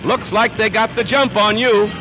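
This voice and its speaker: A man's voice: high voice, fairly even intonation, normal speed, poor audio. An American man delivering scripted 1940s newsreel narration in a dramatic, punchy announcer manner, on a vintage film soundtrack. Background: music.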